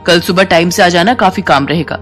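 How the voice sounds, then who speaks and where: A woman's voice: on the low side, fairly quick, a bit bright, natural voice. Lady doing snooty rich housewife in a kids story, bossy n curt, scolds a lot, bit of music behind. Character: haughty, scolding rich housewife, female employer.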